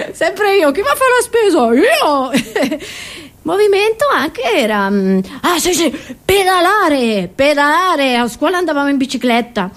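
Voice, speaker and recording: A woman's voice: high-pitched, big pitch swings, measured pace, fairly bright tone, heavily acted. A woman playing an indignant old pensioner grandmother in a comic monologue, rambling, stammering and repeating herself as she reminisces. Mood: flustered, reminiscing.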